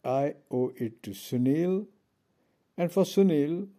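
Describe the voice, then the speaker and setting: A man from India giving a pointed, measured speech from a conference podium. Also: a little high, warm tone. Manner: measured, pointed, with pauses between phrases. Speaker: conference speaker.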